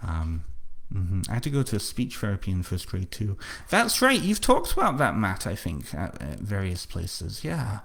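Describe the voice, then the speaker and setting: A man with a slight North Welsh accent, hosting a live book launch and answering viewers' comments in a halting, casual way with lots of fillers and repeated words. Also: deep voice, wildly swooping pitch, creaky, fairly bright tone. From North Wales.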